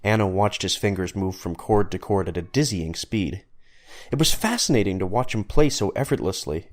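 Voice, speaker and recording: A man's voice: low-pitched, animated, normal speed. A man reading scripted story narration as the narrator.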